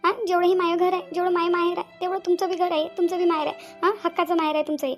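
A woman's voice: very high-pitched, flat delivery, racing, soft background music. A woman playing a tender, earnest young married village woman in a family drama, with a Varhadi Marathi accent and an emotive, pleading way of repeating phrases. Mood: tender, consoling. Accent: Varhadi Marathi from Vidarbha. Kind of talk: amateur family drama acting.

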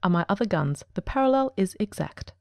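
A woman's voice: mid-range voice, lively intonation, brisk, darkish tone, no room sound, studio quality. A woman voicing a coolly self-assured male detective genius, precise and formal, in a dramatic audiobook. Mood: assured, dry. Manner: crisp, formal, commanding.

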